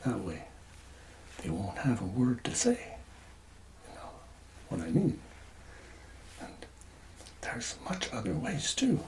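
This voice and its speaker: Slow, soft-spoken man drifting into whispers. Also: medium pitch, huge pitch swings, slight background noise. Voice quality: soft voice, often dropping into whispers. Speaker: ASMR performer playing a tax collector. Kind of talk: soft-spoken ASMR roleplay.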